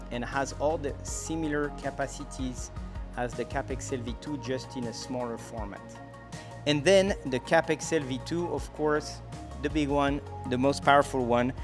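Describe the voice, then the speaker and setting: A man presenting a product demonstration in a conversational, explanatory way, going through things step by step. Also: mid-range voice.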